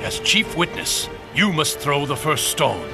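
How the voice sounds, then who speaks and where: Gravelly, imperious zealot persecutor. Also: male voice, a little high, sing-song, a little slow. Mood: cold, menacing. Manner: commanding, imperious, stern. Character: menacing zealous male persecutor commanding a stoning mob. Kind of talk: audio drama acting. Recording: loud dramatic music over the voice.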